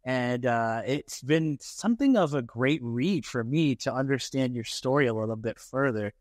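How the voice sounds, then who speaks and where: A man's voice: mid-range voice, animated, dry recording, clean studio sound. A young man hosting a podcast, asking rambling questions with many fillers in an office. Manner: halting, filler-heavy questions. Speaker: podcast host. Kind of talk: casual interview. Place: bright office with a lounge set.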